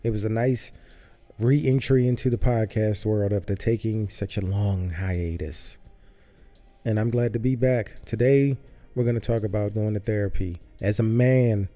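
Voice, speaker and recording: A man's voice: on the low side, measured pace, warm tone, soft background music. A man hosting his own podcast, talking loosely in a rambling way and often repeating himself. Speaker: podcast host. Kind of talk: casual solo podcast monologue. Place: home studio.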